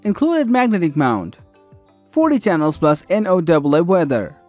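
A man's voice: a little high, sing-song, moderate pace, a little mellow, soft background music. A man reading scripted narration for a product countdown review.

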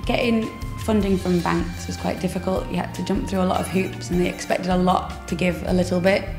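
Young woman, bakery co-owner, gravelly low-ish voice, chatty, echoey cafe with music. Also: fairly even intonation, fairly quick. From England. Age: 20s. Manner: casual, chatty, laughs often, says "like". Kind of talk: interview answers. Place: cafe interior, seated on sofa.

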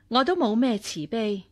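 An actress in a radio drama playing a cold, vengeful nun and martial-arts master, measured and aloof. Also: female voice, on the high side, expressive. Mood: cold, mocking. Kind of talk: Cantonese radio drama acting.

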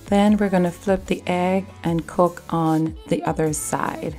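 A woman with a slightly low voice talks a viewer through breakfast recipes one step at a time. Her delivery is calm and instructive, like a host demonstrating at a kitchen counter. Quiet music plays underneath. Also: measured pace. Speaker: cooking channel host.

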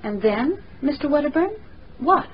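Educated young American woman, cool, guarded, highish voice, radio play, muffled recording. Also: big pitch swings, unhurried. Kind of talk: radio drama acting. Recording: dull radio recording, faint noise.

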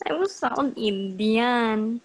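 Brief: teen schoolgirl, slow hesitant delivery, bouncy intonation, video call. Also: fairly high pitch, expressive, slight background noise. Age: teenager. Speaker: student. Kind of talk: answering in class.

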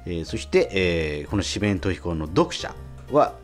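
A man hosts a casual radio show. His delivery is halting but lively in pitch, full of small agreeing interjections. Music plays behind him. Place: radio studio.

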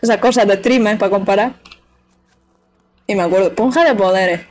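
A woman's voice: medium pitch, fairly quick, darkish tone, slight background noise. Chatty woman streaming a game. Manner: chatty, playful, talks to chat and the game. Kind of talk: casual live gameplay commentary.

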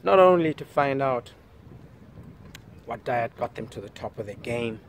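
A man's voice: mid-range voice, expressive, measured pace, slight background noise. A man vlogging and interviewing athletes outdoors, speaking into an earphone headset mic, upbeat and prompting, repeating answers back to them. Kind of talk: casual on-the-street interviews and vlog narration. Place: outdoors in a park and on streets. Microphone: earphone headset mic, selfie camera.

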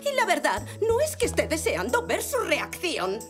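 High, haughty, dramatic zebra lady. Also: huge pitch swings, moderate pace, exaggerated voice. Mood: exasperated. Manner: dramatic, snooty. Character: haughty, exasperated zebra mother and aunt. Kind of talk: children's cartoon dub. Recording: music behind.

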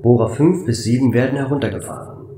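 A man voicing a calm, matter-of-fact station computer in a flat, formal way for a sci-fi audio drama. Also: mid-range voice, quick pace, reverberant, far from the mic, a little hiss or hum, close to an everyday voice. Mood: factual.

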